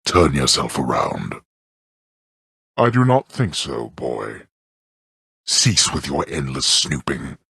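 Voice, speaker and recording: A man playing a stern, scornful old god of the underworld in a video game, speaking with pompous, archaic, disdainful diction and a very deep, gravelly voice. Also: very animated, slow, long pauses, bright, forceful tone, dry recording, studio quality, heavily acted. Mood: stern, forbidding. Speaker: voice actor. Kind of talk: theatrical scripted video game character lines.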